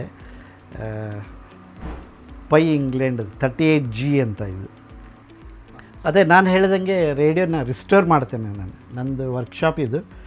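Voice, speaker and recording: A man's voice: medium pitch, darkish tone. Dull-sounding man explaining his collection, music behind. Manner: halting explanations with frequent pauses, English words mixed in. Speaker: vintage radio collector and restorer. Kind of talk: informal explanatory museum tour to camera. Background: background music.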